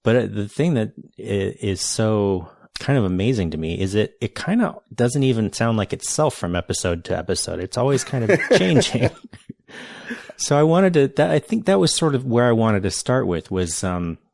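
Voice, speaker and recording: A man's voice: on the low side, big pitch swings, normal speed, creaky, a little mellow, no room sound, studio quality. A man hosting a podcast, reading an introduction and then chatting earnestly and enthusiastically with a guest, often restarting his sentences. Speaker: podcast host. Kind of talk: read intro then casual interview chat.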